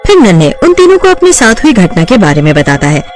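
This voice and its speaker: A woman narrating a horror cartoon story in a lively, dramatic scripted style. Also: mid-range voice, fast talker, faint music behind.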